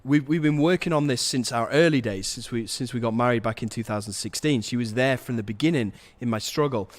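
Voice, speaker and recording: A man's voice: mid-range voice, brisk. A man hosting testimonial calls in a casual, upbeat way, laughing often and saying his voice is going. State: losing his voice. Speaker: course host. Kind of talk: casual video call interviews.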